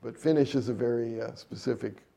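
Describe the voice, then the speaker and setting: A middle-aged man talks about his music career in a relaxed, meandering interview. His voice is gravelly and dark, with an even, flat delivery. He pauses often on fillers and restarts his sentences. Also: medium pitch. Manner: rambling, many fillers and restarts. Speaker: jazz trumpet player and composer.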